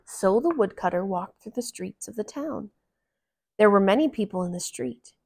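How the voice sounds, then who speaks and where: A woman reading a picture book aloud to young children in a gentle, deliberate manner. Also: medium pitch, lively intonation, a little hiss or hum. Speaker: children's storybook reader.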